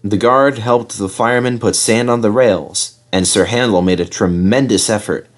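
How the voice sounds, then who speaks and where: A man reading a children's story aloud as an expressive narrator. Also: fairly low pitch, normal speed. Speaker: storybook reader.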